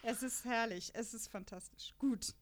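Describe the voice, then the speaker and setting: A German woman hosts a casual podcast in a fairly high voice. She talks in a chatty, unscripted way, with a joking, self-deprecating streak. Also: normal speed, a little hiss or hum. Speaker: podcast host. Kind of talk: casual podcast chat about an audio drama series.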